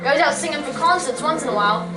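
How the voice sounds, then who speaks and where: A high-pitched, gossipy London servant, played by a woman in a radio play reading. The talk is colloquial and chummy, echoing around a stage and picked up from a distance. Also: animated, quick pace, over music. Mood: chatty, friendly. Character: chatty working-class London servant, former carriage driver. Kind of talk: old-time radio drama reading on stage. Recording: echoey stage recording from far off.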